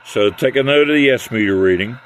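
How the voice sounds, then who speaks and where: An older American man giving a casual hands-on equipment demonstration in a halting, unhurried way. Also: mid-range voice, a little hiss or hum, low-quality recording. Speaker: radio hobbyist demonstrating equipment.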